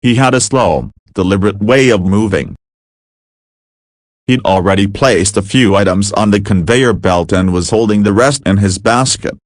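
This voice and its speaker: Male story narrator, lowish register, deliberate scripted delivery, very dry close sound. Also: no echo.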